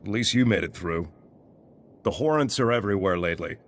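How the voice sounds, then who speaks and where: Gruff male ruins guard for a fantasy game, lowish voice, terse, dutiful, plain-spoken. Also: lively intonation, brisk. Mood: grim. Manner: terse, clipped sentences. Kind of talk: video game dialogue acting.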